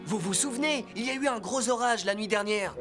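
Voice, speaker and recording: A woman voicing a calm, responsible rescue car leader in a dubbed children's cartoon, explaining things reassuringly. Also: on the high side, brisk, lightly acted. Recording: music underneath.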